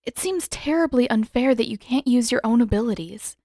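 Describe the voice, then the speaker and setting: A woman's voice: a little high, lively intonation, fairly quick, mellow, no echo, studio quality, pitched above the performer's own voice. A woman voicing a polite, hesitant, concerned teenage girl in a story reading. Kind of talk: dramatic audiobook character reading.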